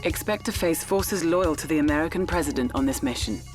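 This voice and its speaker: A woman voicing a game's mission announcer, giving flat, clipped briefings. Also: low-pitched, a little fast, faint music behind, lightly acted. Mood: terse.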